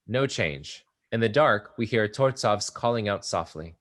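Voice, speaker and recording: Low-voiced man reading stage directions. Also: lively intonation, unhurried, a bit bright. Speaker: stage directions reader at a play table read. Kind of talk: scripted narration.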